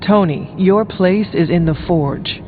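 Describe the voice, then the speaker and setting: Terse high-voiced hunter hero. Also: male voice. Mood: firm, dry. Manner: brief, plain, measured. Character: calm, terse centuries-old male demon hunter leader. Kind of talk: video game dialogue acting. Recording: tinny band-limited game audio, music behind.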